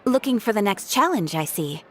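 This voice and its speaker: A courteous guild receptionist. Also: female voice, mid-range voice, big pitch swings, moderate pace, fairly bright tone, a little hiss or hum. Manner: courteous and formal. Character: polite, composed female adventurers' guild receptionist. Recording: game audio with faint background music.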